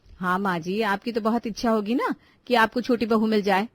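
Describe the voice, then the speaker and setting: A woman's voice: mid-range voice, steady tone, moderate pace, dry recording, close to an everyday voice. A woman voicing an outspoken young daughter-in-law in a cartoon family drama, needling others with mock innocence and sarcasm. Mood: sweetly sarcastic. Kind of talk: animated family drama voice acting in Hindi.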